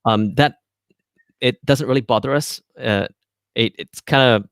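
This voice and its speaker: A man's voice: mid-range voice, measured pace, clean tone, no room sound, clean studio sound. A man from Malaysia, a guest on a podcast, who answers thoughtfully with frequent ums and restarts. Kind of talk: casual interview chat.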